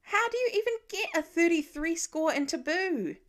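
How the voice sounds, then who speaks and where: A woman's voice: very high voice, expressive, moderate pace, voice pushed up. A woman voicing a good-natured, incredulous teenage big brother who banters in a story reading. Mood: bantering.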